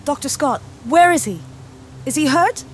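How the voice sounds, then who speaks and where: A woman's voice: sits high, expressive, moderate pace, a little hiss or hum. A woman playing a determined, insistent doctor in a dramatized audio comic. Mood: anxious. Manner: insistent, assertive.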